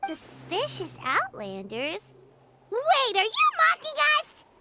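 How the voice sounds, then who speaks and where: A woman voicing a tiny, feisty, childlike fairy girl companion in a video game, squeaky and excitable. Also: takes it slowly, very noisy, full character voice. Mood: offended. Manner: squeaky, excitable, indignant, talks in third person. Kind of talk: video game character acting.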